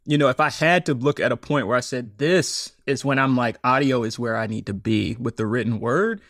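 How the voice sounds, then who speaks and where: A man who is a stage storyteller, talking casually in an interview, animated and often laughing as he acts out his own remarks. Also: mid-range voice, a little fast, dry recording, clean studio sound. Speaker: storyteller and podcaster, guest.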